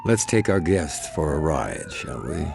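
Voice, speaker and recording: An actor playing a cold, cultured, menacing crime boss in a video game, speaking in a sardonic, condescending, deliberate manner. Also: male voice, a bass voice, very animated, vocal fry, heavy background noise, full character voice. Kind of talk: video game cutscene acting.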